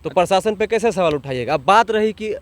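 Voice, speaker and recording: High-voiced, blunt man over street noise. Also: animated, fairly quick. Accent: Jharkhand. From Jharkhand, India. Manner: blunt, exasperated, colloquial, rhetorical questions. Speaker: interview guest, online channel owner. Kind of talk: opinionated street interview. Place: outdoors in a town, near a road. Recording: clear outdoor street and traffic noise.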